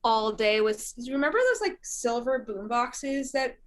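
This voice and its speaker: A woman's voice: fairly high pitch, steady tone, normal speed. A young woman from Syria, a musician guest chatting casually, laughing often and using lots of fillers. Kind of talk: casual interview chat.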